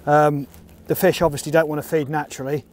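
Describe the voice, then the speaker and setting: A middle-aged man with a slightly high voice explains things in a casual, matter-of-fact way. He is speaking outdoors on a boat, with faint water and engine noise behind him. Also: flat delivery, quick pace, darkish tone. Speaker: competition angler presenting a fishing film. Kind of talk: casual instructional commentary. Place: open boat on a lake, outdoors.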